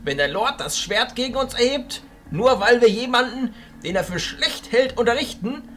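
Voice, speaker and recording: An old wizard archchancellor in a fantasy radio play. His voice is high and sharply bright, with huge swings in pitch. He declaims with commanding, excitable emphasis over music. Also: normal speed, full character voice. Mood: stern, defiant. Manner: declamatory, emphatic, swinging between hushed and booming. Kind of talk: fantasy audio drama acting.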